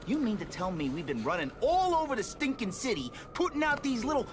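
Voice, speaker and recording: An actor playing a hot-headed teenage turtle, gruff and sarcastic, in a game cutscene. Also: male voice, extremely high pitch, very animated, fairly quick, background noise. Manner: gruff, sarcastic, street-tough.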